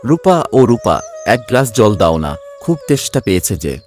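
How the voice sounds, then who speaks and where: A man's voice: mid-range voice, very animated, fairly quick, a little mellow, faint music behind, close to an everyday voice. A man playing a hardworking poor vegetable farmer and doting father in a cartoon, earnest and good-natured. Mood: cheerful, respectful. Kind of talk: Bengali cartoon voice acting.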